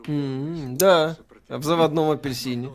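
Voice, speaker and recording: A man's voice: a little high, steady tone, measured pace. A man co-hosting a live game stream, making short, casual remarks.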